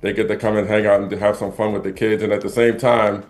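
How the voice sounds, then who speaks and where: A man's voice: fairly low pitch, level tone, fast. A middle-aged man from the United States speaking as an interview guest, explaining things in a casual way. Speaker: interview guest, retired police officer and DJ teacher. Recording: music playing behind the voice.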